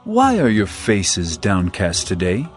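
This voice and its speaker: Earnest young Hebrew servant played by a man, lively pitch. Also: slow pace, faint music behind, full character voice. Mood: earnest, gentle. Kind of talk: dramatized scripture reading.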